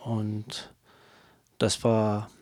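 A Kurdish singer whose first language is Kurdish, speaking hesitant, broken German with many fillers while being interviewed. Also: male voice, lowish voice, completely flat delivery, a little mellow, no echo, clean studio sound. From Kurdish region of Turkey. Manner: halting non-native German with many fillers. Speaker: singer, guest. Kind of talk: interview answers.

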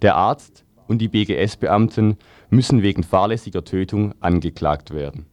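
Low-pitched man reading radio news. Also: normal speed, darkish tone, no room sound. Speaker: news reader.

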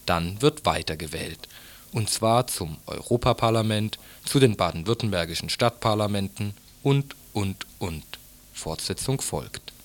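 A man's voice: fairly low pitch, animated, unhurried. A man reading scripted radio news reports as a morning show presenter.

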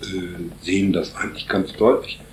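A man answering interview questions at length, pausing often on fillers as he builds long explanatory sentences. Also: sits low, steady tone, roomy sound, a little hiss or hum. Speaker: interviewee on Paraguay politics.

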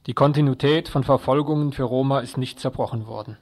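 A man's voice: medium pitch, fairly even intonation, fast, darkish tone, no echo. Male radio news presenter, very dry sound. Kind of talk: scripted news narration.

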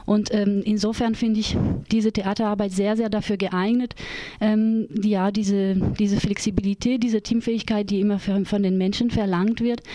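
A woman's voice: mid-range voice, dark tone, no room sound. A woman with a light French accent, speaking as a project leader in a radio interview, hesitant with many fillers.

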